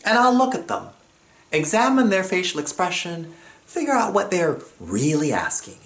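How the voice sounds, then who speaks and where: Animated man telling a personal story. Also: high-pitched, moderate pace. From Jamaica. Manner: expressive, acts out other people's lines.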